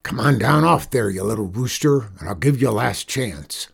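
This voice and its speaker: Western outlaw boss, male storyteller performing: rough, husky, bullying, slangy drawl, built for storybook villainy. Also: lowish voice, very animated, normal speed, full character voice. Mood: taunting, commanding. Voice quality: growling, rough. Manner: drawling, folksy cowboy slang.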